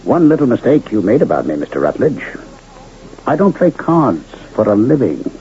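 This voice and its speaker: Man acting a wry, mellow Western doctor. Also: medium pitch, very animated, close to an everyday voice. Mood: sly, challenging. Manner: polite, measured, sardonic. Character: wry, courtly Western doctor and card player. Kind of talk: old-time radio drama acting. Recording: muffled, noisy old radio recording.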